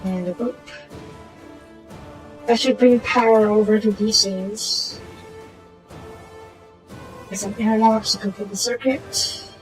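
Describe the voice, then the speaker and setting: A woman with a slightly hoarse voice, casually talking through a strategy game and muttering to herself as she plays. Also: mid-range voice, fairly even intonation, slow, pauses often, soft background music, low-quality recording. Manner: muttering to herself while playing, trailing off into quiet asides. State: hoarse from a sore throat. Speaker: gaming channel host. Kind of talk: casual gameplay commentary.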